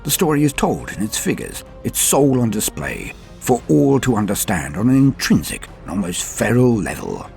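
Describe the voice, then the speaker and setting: A man's voice: on the low side, wildly swooping pitch, moderate pace, vocal fry, few pauses, darkish tone, soft background music. A man hosting a lore show, delivering scripted narration in a theatrical, formal and florid manner. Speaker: host of a Warhammer lore show.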